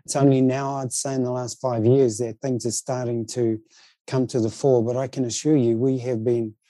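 A man's voice: medium pitch, little pitch movement, normal speed, mellow, no echo. A man with a New Zealand accent, speaking in a considered, conversational way as an interview guest.

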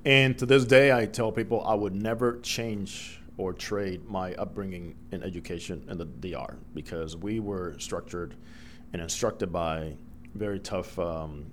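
A middle-aged man from the Dominican Republic whose first language is Spanish, a broadcaster telling lively stories as a guest in an interview. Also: low voice, unhurried, slight background noise. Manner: animated storyteller, acts out quoted voices. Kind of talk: storytelling interview.

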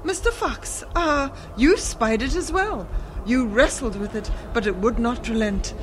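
An actress playing a bold, steely young noblewoman in a macabre fairy-tale radio drama, speaking in a deliberate, melodious, theatrical way. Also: a little high, lively intonation, a little hiss or hum. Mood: taunting, deliberate.

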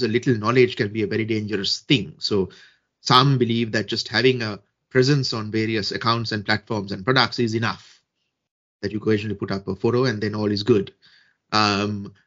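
A man from Sri Lanka giving measured, deliberate answers as a guest expert in a podcast interview, with frequent fillers and restarts. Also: medium pitch, a bit bright, lo-fi sound. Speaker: guest expert on social media and peace building.

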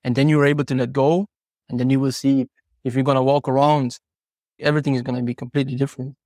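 A man's voice: medium pitch, little pitch movement, fast, darkish tone, no echo, studio quality. A man who is not a native English speaker, talking reflectively and earnestly as an interview guest, explaining ideas through personal stories and rhetorical questions. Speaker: horse trainer and podcast guest.

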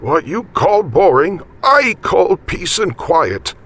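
Man putting on a raspy, animated old grandfather. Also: sits high, takes it slowly, voice pushed up, full character voice. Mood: quipping. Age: older. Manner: animated, folksy, encouraging. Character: jovial teasing elderly grandfather, folksy country widower.

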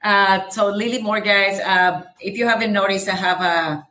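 A woman from El Salvador with a light Salvadoran Spanish accent, a native Spanish speaker, talking as a guest in a casual podcast interview about her real estate business, energetic and full of fillers. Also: medium pitch, normal speed, a little hiss or hum.

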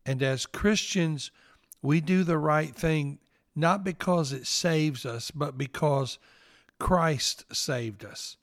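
A man in his fifties with a Southern accent, hosting a devotional podcast and speaking in an earnest, gently preaching way. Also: fairly high pitch, slow, dry recording, clean studio sound. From Northeast Mississippi, US.

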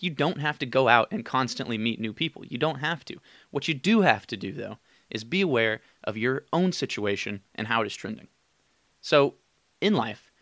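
A man in his twenties, a bit raspy from being sick, hosting his own podcast in a casual, candid way and laughing as he talks. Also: mid-range voice, fairly bright tone, no echo. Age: 20s. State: raspy from illness. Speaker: podcast host. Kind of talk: solo podcast monologue. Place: his room.

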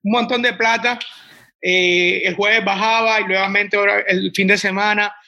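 A man's voice: sits high, little pitch movement, moderate pace, vocal fry, pushed and bright. A man in his twenties with a Colombian accent, a restaurant owner answering interview questions in a rambling way, full of restarts. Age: 20s. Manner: rambling, many restarts and "o sea".

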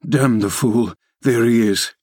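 A man's voice: medium pitch, slow pace, a little mellow, dry recording, clean studio sound. A man playing an anguished, fierce and brooding male lover, speaking with earnest intensity in a classic novel reading. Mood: tender, resigned.

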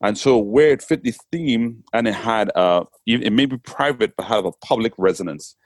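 A man with a Trinidadian accent speaks in a lowish voice, recorded close and dry. He talks reflectively and casually, often circling back with "you know what I'm saying." He is a poet being interviewed. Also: normal speed, no room sound. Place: England.

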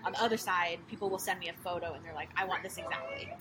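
A woman's voice: lowish voice, fast. A young woman from California, a home baker, talking casually with lots of "you know" and "like". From Costa Mesa, California. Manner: relaxed, many fillers like "you know" and "like". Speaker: small business owner, baker. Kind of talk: casual interview guest. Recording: noisy background.

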